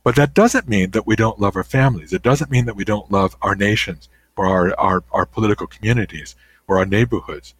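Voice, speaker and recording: A man's voice: on the low side, a little fast, husky, no echo. Older man, guest author, thoughtful, lots of restarts, dry close sound. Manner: thoughtful, many restarts and "you know"s. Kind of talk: conversational interview answers.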